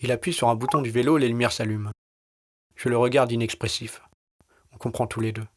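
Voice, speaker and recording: A man with a medium-pitched voice reads a scripted first-person story. His delivery is terse and weary, with a wry, colloquial edge. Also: fairly even intonation, a little fast, noisy background. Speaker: narrator and author of an audio short story.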